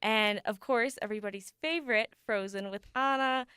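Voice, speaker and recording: A woman's voice: medium pitch, normal speed, pushed and bright, clean tone, no room sound, studio quality. A young woman, an ice skater raised bilingual in Denmark and the States, answering radio interview questions in an upbeat, eager way with frequent laughs. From United States and Denmark. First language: bilingual English and Danish. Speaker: ensemble ice skater, guest.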